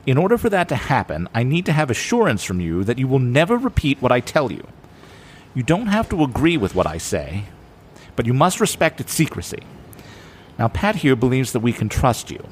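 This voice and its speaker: Male professor-spymaster actor role: formal, smooth, secretive, mid pitch with sweeping intonation, audio drama. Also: sing-song, a little fast, slight background noise, lightly acted. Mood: grave, secretive. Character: suave, secretive criminology professor man who recruits spies.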